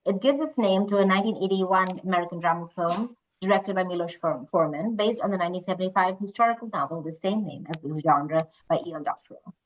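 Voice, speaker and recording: A woman's voice: on the low side, fast, hardly any pauses. Woman quizmaster reading out questions, chatting casually between, kinda dull lofi call audio. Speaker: quiz host reading questions.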